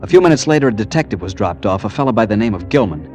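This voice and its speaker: A man's voice: on the low side, animated, very fast, close to an everyday voice. An actor playing an anxious, insistent young man searching for his missing girlfriend, in old-time radio drama style. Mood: tense narrating. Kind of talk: old-time radio drama acting and first-person narration. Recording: vintage radio drama with background music.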